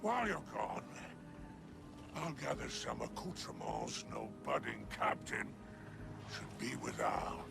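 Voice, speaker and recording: A sly alien crime lord from a video game. The voice is heavily gravelly and theatrical, swinging widely in pitch. He sounds pompous and self-important, with music playing behind him. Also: very animated, takes it slowly, heavily acted. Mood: smug. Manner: pompous, theatrical, florid wording. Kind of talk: video game character acting.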